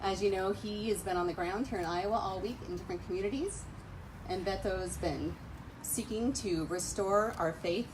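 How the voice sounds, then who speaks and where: An American woman with a medium-pitched voice speaks as the host of an outdoor gathering. She gives a prepared introduction for a speaker. The recording is very noisy. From United States, raised on military bases. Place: outdoors on a front lawn.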